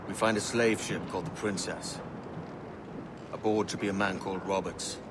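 A man playing a purposeful pirate in a video game, laying out a scheme in a measured, conspiratorial way. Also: steady tone, natural voice. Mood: secretive, resolute. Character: low-voiced, purposeful pirate plotting a scheme. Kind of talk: dramatic video game acting. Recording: loud rumbling background noise.

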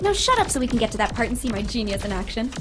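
A prickly young female know-it-all from a video game, boastful and sarcastic. She rattles along quickly in a high, very animated voice, with music clearly behind her. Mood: smug. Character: smug, prickly young female tech genius. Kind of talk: video game dialogue acting.

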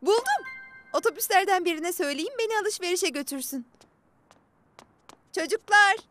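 A woman voicing a hard-working, bubbly young female mechanic in a children's cartoon dub, with loud music behind. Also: very high voice, expressive, moderate pace, heavily acted. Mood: puzzled, brisk.

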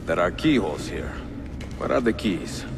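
An actor playing a calm, stern older male assassin in a video game, terse and commanding. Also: low voice, moderate pace, vocal fry. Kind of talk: dramatic game dialogue. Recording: echoey stone chamber, noisy ambience.

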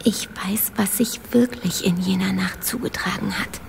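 Actress as hushed, very creaky secretive woman. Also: mid-range voice, lightly acted. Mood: hushed, guilty. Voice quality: breathy, half-whispered, gravelly. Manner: hushed, halting, cryptic. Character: secretive, guilt-ridden woman informant lurking in shadows. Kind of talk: German radio play acting. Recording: reverberant hall, faint background noise.

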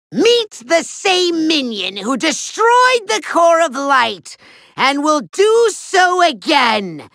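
A man playing a pompous, tantrum-prone little evil overlord in a video game, with a shrill, gravelly, bombastic delivery. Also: bright, forceful tone, no room sound, studio quality, heavily acted. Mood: boastful menace. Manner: bombastic, theatrical, drawn-out emphatic words. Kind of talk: video game villain acting.

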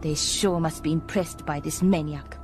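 An actress playing a cool, wry young secret agent, giving a measured briefing in a video game. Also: female voice, low-pitched, steady tone, darkish tone, lightly acted. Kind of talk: video game cutscene acting. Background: music.

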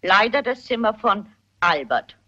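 An actress playing a shrewd elderly amateur sleuth, composed and wry, with a husky voice in a German radio mystery play. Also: female voice, medium pitch, animated, measured pace, sharp tone. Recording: muffled radio play recording.

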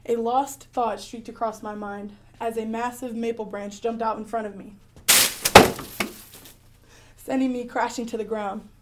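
A woman playing an adventurous, clever girl heroine who tells her own story in a radio drama, earnest and expressive. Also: fairly high pitch, natural voice. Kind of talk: first-person radio drama narration.

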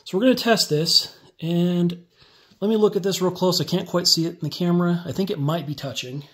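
Man, slightly high voice, casual DIY tutorial, step-by-step explaining, phone mic over workbench. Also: brisk. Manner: relaxed step-by-step explaining while working. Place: indoor workbench.

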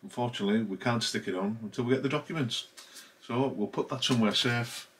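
A man's voice: mid-range voice, fast talker, fairly bright tone, slightly rough. A middle-aged man, chatty and matter-of-fact, walking through paperwork in a casual home vlog, a little throaty from a chest infection. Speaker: van life vlogger. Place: home kitchen indoors.

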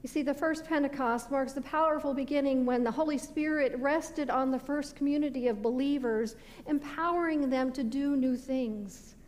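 A middle-aged American woman preaches from the pulpit in a high voice. She phrases deliberately, letting the ends of sentences drop away. The sanctuary adds a long reverb, with faint noise underneath. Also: darkish tone. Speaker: pastor. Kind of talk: sermon. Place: indoor stone-walled church sanctuary at a pulpit. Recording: reverberant church hall with background noise.

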